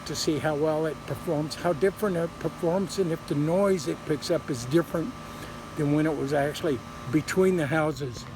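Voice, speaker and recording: A man giving a casual, unscripted show-and-tell update outdoors, speaking haltingly and repeating words, recorded on a handheld camera. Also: fairly high pitch, noisy background. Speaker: hobbyist video presenter. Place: outdoors in a residential yard. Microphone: handheld camera microphone.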